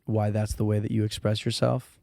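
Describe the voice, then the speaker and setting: A man hosting a podcast, asking long relaxed questions and laughing easily. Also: fairly low pitch, fairly quick, creaky, dry recording, clean studio sound. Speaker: podcast host. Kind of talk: casual podcast interview.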